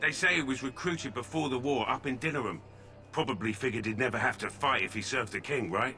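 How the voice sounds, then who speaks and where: A man's voice: mid-range voice, brisk, bright, forceful tone, faint music behind. A man voicing a surly prison guard in a video game who grumbles and complains about his duty. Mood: grumbling.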